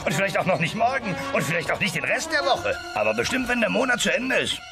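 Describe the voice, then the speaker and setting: High, creaky, earnest cartoon dinosaur. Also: male voice, very animated, fast talker, over music. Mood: melodramatic farewell. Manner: melodramatic, bumbling. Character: earnest, anxious, goofy family-man dinosaur hero. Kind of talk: German dubbed cartoon audio play.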